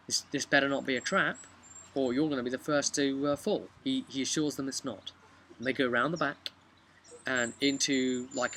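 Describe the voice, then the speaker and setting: A young man casually recapping a tabletop game as game master, halting at times and emphatic, recorded outdoors. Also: mid-range voice, sharp tone. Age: young adult. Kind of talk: casual vlog storytelling. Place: outdoors on a bench by a brick house. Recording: outdoor webcam with background noise.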